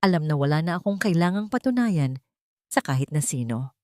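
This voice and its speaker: A woman narrator voicing a composed, resilient businesswoman in a story, calm, sincere and deliberate. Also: sits low. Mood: serene, grateful. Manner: calm, warm, deliberate public-speaker delivery.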